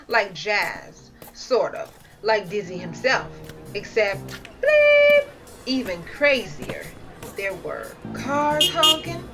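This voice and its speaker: A woman reading a children's picture book aloud in an expressive, playful, theatrical way with dramatic pauses. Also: fairly high pitch. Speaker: storybook reader.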